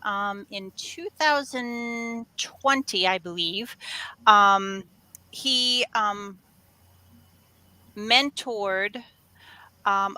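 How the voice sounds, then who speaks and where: Bright, crisp-voiced woman guest. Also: mid-range voice, no room sound. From West Virginia. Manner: measured, many um fillers. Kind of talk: casual interview talk.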